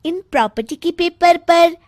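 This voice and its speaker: A woman's voice: extremely high pitch, measured pace, a little mellow, no room sound, clean studio sound. A woman voicing a young, sly daughter-in-law with a girlish, outwardly polite manner in a comedy cartoon. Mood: smug. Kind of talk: Hindi family comedy cartoon.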